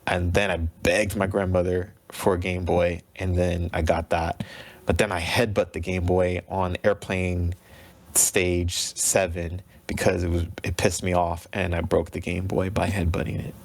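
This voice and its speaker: A man's voice: low-pitched, unhurried. A man in his thirties, a guest on a podcast, telling stories animatedly and laughing often. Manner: animated storyteller, laughs often, acts out the people he quotes. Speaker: guest, video game composer. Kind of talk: podcast interview.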